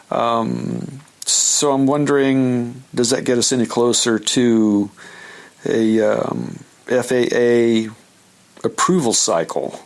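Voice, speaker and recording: A man with a medium-pitched voice records a listener question. He speaks politely and somewhat haltingly, as if leaving a voice message.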